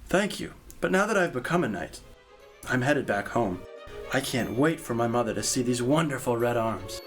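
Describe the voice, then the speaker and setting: Man playing an excitable, naive young knight. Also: mid-range voice, moderate pace, faint music behind, lightly acted. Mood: eager, earnest. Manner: blunt, hot-headed, guileless. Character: naive, impulsive young male knight, brash and eager. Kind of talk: audio drama acting.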